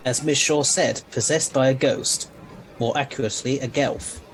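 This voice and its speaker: An actor playing a wry, authoritative time-travelling scientist in an audio drama, speaking formally and precisely. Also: male voice, mid-range voice, fairly even intonation, moderate pace, faint music behind, close to an everyday voice, low-quality recording. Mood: grave, explanatory. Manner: formal, precise, slightly grand explanations. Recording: clear background noise on recording.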